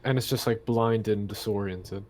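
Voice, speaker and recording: A man's voice: on the low side, fairly even intonation, normal speed, a little mellow, a little hiss or hum. A man playing a tabletop game, chatting casually with the others around the table.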